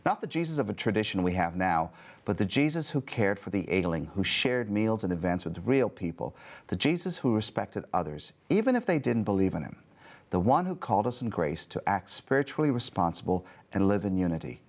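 A man's voice: lowish voice, sing-song, fairly quick. A man hosting a casual Bible study discussion, playful and joking as he asks questions. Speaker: host.

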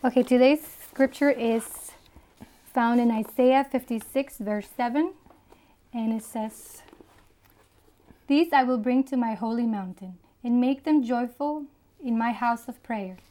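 Mellow-voiced woman from the Dominican Republic. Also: on the high side, unhurried. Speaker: panelist.

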